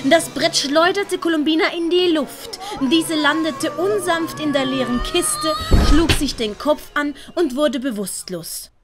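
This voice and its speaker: A woman's voice: high-pitched, a little slow, hardly any pauses. A woman with a Swiss German accent reading a scripted children's story as narrator. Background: dramatic music and sound effects.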